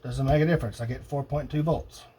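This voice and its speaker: A man thinking aloud in a halting, casual way as he tests electronics at a workbench. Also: medium pitch, fairly even intonation, dark tone. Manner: muttering, halting, pondering to himself. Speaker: hobbyist demonstrating electronics testing. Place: indoor cluttered electronics workbench. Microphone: old phone used as camera above bench. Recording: noisy phone-camera workshop recording.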